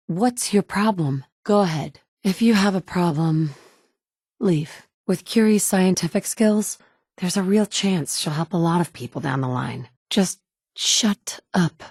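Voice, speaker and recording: A woman's voice: fairly low pitch, a little mellow, no room sound, studio quality. An actress voicing a blunt, wry woman survivor in a video game, plain-spoken and direct, by turns tender and curt. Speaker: player character. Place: recording studio.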